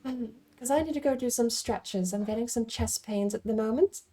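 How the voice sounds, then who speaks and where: A woman's voice: fairly high pitch, normal speed, dark tone, soft background music. A woman giving casual livestream game commentary, rambling and thinking aloud as she plays. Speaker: game streamer.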